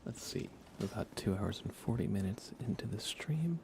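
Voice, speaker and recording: A man doing soft-spoken ASMR livestream commentary on a game, low-key and murmuring, often dropping into a whisper. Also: animated, normal speed, creaky. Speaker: livestream host.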